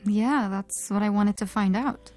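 Female podcast co-host from Oman, articulate and composed, mid-pitched, soft music underneath. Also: a little slow. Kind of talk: conversational podcast discussion.

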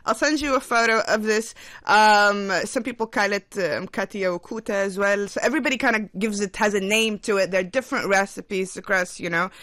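A woman co-hosting a casual chat, laughing often and talking in animated bursts. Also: mid-range voice, a little fast, no echo. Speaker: co-host.

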